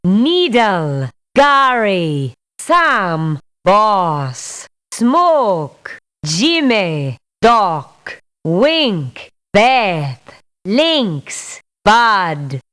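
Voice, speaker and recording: A tough female gun for hire in a strategy game. Her voice is smooth and resonant, and she delivers blunt, firm lines at an unhurried, measured pace. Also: medium pitch, big pitch swings, fairly bright tone, exaggerated voice. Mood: matter-of-fact. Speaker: mercenary character in a strategy game. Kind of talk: video game voice clips, scripted refusals and barks.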